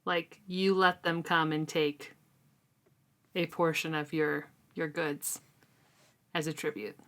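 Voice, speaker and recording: A woman with a slightly low voice talks through a novel at an unhurried, leisurely pace. Her delivery is casual and chatty, full of fillers as she recaps and reacts. It sounds like a relaxed book club chat between friends. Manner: chatty, relaxed, often says um and like. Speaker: book club podcast co-host.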